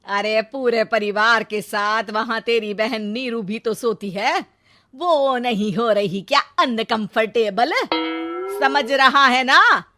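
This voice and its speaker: A woman's voice: a little high, takes it slowly, pushed and bright, no echo, clean studio sound. A woman playing a protective middle-aged mother in a story, colloquial, emphatic and blunt. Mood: indignant.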